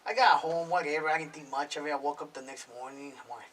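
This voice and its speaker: A man's voice: on the high side, fairly even intonation, fast talker, a bit bright. A man in his thirties, a music producer guest, talking in casual slang with lots of "bro" and "homie". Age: 30s. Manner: slangy, swearing, fillers "bro" and "homie" throughout. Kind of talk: casual interview talk. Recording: distant mic with faint noise.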